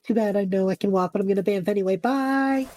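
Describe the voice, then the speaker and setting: A woman playing an anxious, sarcastic young male magic student in an audio drama, rambling, flustered and interrupting himself, with a husky voice. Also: mid-range voice, fast, mellow, natural voice. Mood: playful, defensive. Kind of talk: audio drama acting, monologue and banter.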